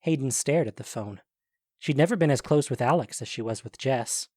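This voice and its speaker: A man's voice: mid-range voice, moderate pace, darkish tone, dry recording, studio quality. A man narrating a scripted story as the narrator of an audio drama.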